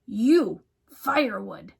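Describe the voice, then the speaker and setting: A woman's voice: medium pitch, sing-song, slow pace, vocal fry, slightly rough, full character voice. Woman voicing a snappish, bossy scruffy varmint. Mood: grudging, bossy. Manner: snarling, sarcastic, barking orders. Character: scruffy bad-tempered varmint, male, gruff and bossy.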